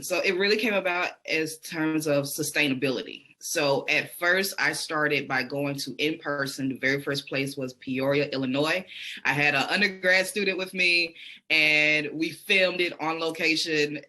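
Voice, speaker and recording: A woman's voice: deep voice, normal speed, sharp tone. A woman with a Southern American accent, a podcaster and educator telling her story as a guest in a casual online interview. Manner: enthusiastic, storytelling, self-reflective. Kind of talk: conversational interview answers.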